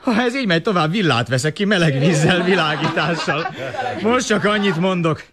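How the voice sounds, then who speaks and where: A man's voice: sits high, lively intonation, a crowd in the background. An actor playing a plucky, polite young orphan boy, earnest and spirited, in a radio drama. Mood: moved, grateful. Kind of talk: 1980 radio drama acting.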